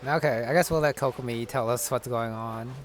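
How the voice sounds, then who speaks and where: A man giving casual, unhurried gameplay commentary, muttering his reactions as he plays. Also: medium pitch. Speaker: gamer, let's player. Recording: game music and battle sounds behind. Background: loud video game music, crashes.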